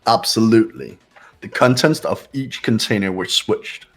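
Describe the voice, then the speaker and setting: Male player reading a game script aloud: low, husky, calm and measured, with music underneath. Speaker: player voicing a game character.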